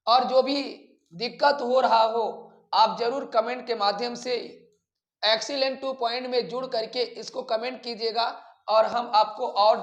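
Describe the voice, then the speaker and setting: An Indian teacher giving an instructional English grammar lesson, didactically asking questions and then answering them. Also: medium pitch. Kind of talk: instructional lecture mixing Hindi and English terms. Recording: echoey room.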